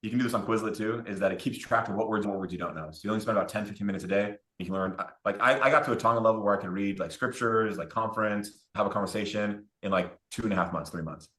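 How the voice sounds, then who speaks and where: Fast-talking man, low voice, roomy sound. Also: fairly bright tone. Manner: fast, loose, repeats words while explaining. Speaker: guest, investment firm co-founder. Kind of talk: casual podcast interview.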